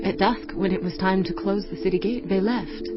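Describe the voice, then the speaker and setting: A woman's voice: medium pitch, moderate pace, natural voice. An actress playing a fearful but resolute woman of ancient Jericho in a dramatized audio Bible, earnest and dramatic. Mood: urgent. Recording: slightly dull audio over music bed. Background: faint music.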